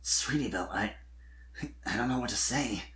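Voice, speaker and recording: A bashful young male dragon, put on by a narrator, talks in a medium to lowish pitch. He is kind and nervous, picking his words with a hesitant stammer, as in a cartoon romance. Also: normal speed, a bit bright, natural voice. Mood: nervous, self-doubting.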